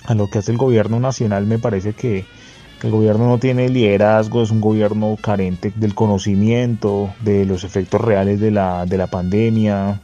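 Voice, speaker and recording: A man with a Colombian accent giving an informal, analytical spoken report, measured and somewhat hesitant. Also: on the low side, flat delivery, dark tone, highs cut off. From Bogotá, Colombia. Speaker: guest correspondent sending an audio report. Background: faint guitar music.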